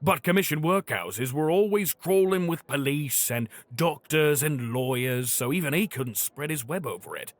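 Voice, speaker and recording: A man acting a streetwise, gruffly kind ex-crook in an audio drama. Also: fairly high pitch, lively intonation, moderate pace, hardly any pauses. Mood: knowing, gruff.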